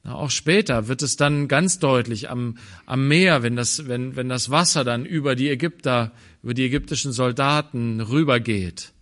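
A man from Germany giving a thoughtful sermon, pausing and restarting, sometimes dropping into quiet low asides. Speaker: preacher.

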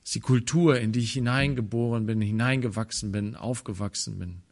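A man from Germany giving a thoughtful sermon, pausing and restarting, sometimes dropping into quiet low asides. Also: steady tone. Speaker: preacher.

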